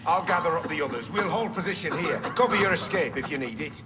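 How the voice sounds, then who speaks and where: An actor playing a brisk, commanding officer in a video game, giving urgent orders to followers. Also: male voice, fairly high pitch, animated, lightly acted. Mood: urgent, businesslike. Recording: echoey, distant, under loud music. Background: loud music.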